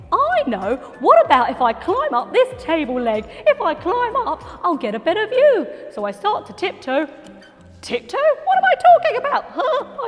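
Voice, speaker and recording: A woman voicing an excitable, cheeky young boy who tells his own story with many gasps and sing-song exclaims. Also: high-pitched, long reverb tail, full character voice. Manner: gasping, breathless, sing-song. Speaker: storyteller actor. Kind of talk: theatrical children's storytelling.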